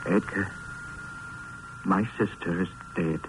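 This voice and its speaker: A man's voice: low voice, fairly even intonation, takes it slowly. An actor playing a morbid, haunted man on the edge of madness, with intense 1950s radio drama delivery. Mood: grief-stricken. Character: Roderick Usher, morbid, haunted, half-mad brooding man. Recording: noisy vintage radio recording.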